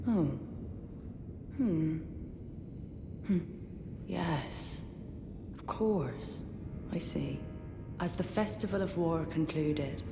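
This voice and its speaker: Low-voiced, resolute warrior woman. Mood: guarded, musing. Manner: formal, solemn, firm. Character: proud young female warrior, loyal, resolute, battle-hungry. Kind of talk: dramatic fantasy video game dialogue. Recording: echoey hall, rumbling background noise.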